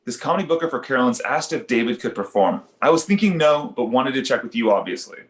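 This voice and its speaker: Man playing a quick-talking wary dad, roomy sound. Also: mid-range voice, animated, close to an everyday voice. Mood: nervous, casual. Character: wary, low-key young dad of talking baby. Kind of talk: comedy table read of an animated pilot.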